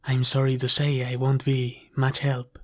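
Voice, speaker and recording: A devoted dragon butler, acted by a man, attends in a soft ASMR roleplay. His speech is very slow and unhurried, with a level, restrained melody at a medium male pitch. He is courteous and formal, always gentle and deferential. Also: completely flat delivery, close to an everyday voice. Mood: humble, deferential. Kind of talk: ASMR roleplay, soft scripted character acting.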